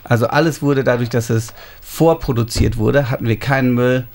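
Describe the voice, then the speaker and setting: Man, thirties, from Germany, mid-pitched voice, unhurried explaining, interview answers. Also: vocal fry. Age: 30s. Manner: explanatory, unhurried, describing details. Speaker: interviewee, homeowner.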